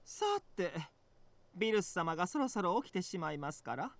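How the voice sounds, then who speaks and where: A man's voice: high-pitched, expressive, racing. A male actor voicing a polite, serene, elegant angelic attendant in an anime, with a genteel singsong manner. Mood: politely breezy. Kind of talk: Japanese anime voice acting.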